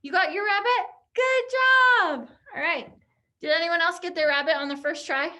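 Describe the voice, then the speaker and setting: A woman's voice: very high-pitched, moderate pace, bright, forceful tone. A young woman teacher leading a pantomime activity online, upbeat and encouraging as she explains each step.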